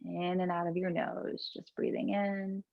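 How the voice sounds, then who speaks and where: Woman, yoga and meditation teacher, noticeable vocal fry, soothing gentle guidance, unhurried phrasing, dull muffled recording. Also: lowish voice, little pitch movement. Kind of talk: guided gentle yoga and breathing instruction.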